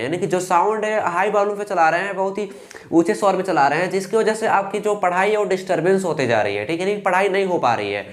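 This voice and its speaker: A young man with an eastern Uttar Pradesh Hindi accent teaching a lesson, reading English lines slowly and carefully. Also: high voice. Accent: Hindi speaker from eastern Uttar Pradesh. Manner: slow and careful when reading English lines, fillers "ठीक" between steps. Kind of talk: explaining a lesson.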